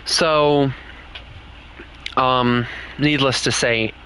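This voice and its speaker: A man's voice: medium pitch, steady tone, extremely slow, bright, forceful tone, slight background noise, poor audio. A young man with a Southern US accent, chatting casually and candidly with other players while streaming a game. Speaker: gaming streamer. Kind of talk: casual live-stream chat.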